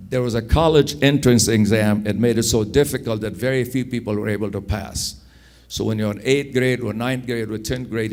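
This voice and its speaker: A man in his sixties from Afghanistan with an Afghan accent, telling personal stories in an unhurried, anecdotal way, repeating phrases for emphasis. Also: fairly low pitch, darkish tone. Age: 60s. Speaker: speaker telling his life story. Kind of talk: personal storytelling.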